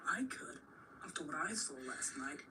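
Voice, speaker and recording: A woman's voice: deep voice, lively intonation, normal speed, in a whisper, recorded from a distance. A performer voicing a bold, determined young American boy, blunt and urgent, in a radio drama. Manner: blunt, urgent, matter-of-fact.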